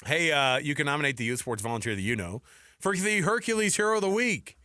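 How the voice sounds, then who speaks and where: A middle-aged man hosts sports talk radio in a bright, very animated voice. He banters casually and repeats phrases for emphasis. The sound is dry and close. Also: fairly high pitch, quick pace, dry recording. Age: 40s or older. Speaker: sports radio show host.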